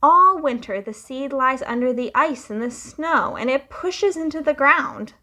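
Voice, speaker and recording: Slow, lively woman reading to kids. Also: high-pitched. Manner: slow, lively, sing-song storytelling. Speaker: children's storytime reader. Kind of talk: reading a picture book aloud to children.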